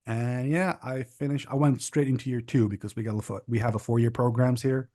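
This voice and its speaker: A man's voice: medium pitch, fairly even intonation, brisk, warm tone, dry recording, studio quality. Talkative young man. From Czech Republic. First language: Czech. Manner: rambling, restarts and stacks of fillers. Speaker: podcast guest. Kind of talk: casual interview chat.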